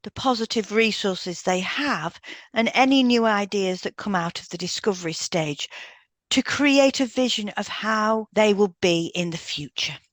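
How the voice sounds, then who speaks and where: A woman's voice: medium pitch, lively intonation, measured pace, dry recording. A woman reading a semi-scripted explanatory podcast as co-host.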